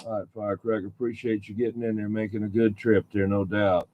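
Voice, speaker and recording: A man's voice: on the low side, flat delivery, fast talker. A man chatting casually as a CB radio operator, relaying calls in folksy radio lingo. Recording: tinny phone-like sound, clear background noise.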